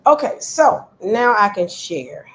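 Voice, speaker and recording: A woman's voice: mid-range voice, sing-song, takes it slowly, slightly rough, low-quality recording. A woman hosting a casual live chat, congested and losing her voice. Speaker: live stream host.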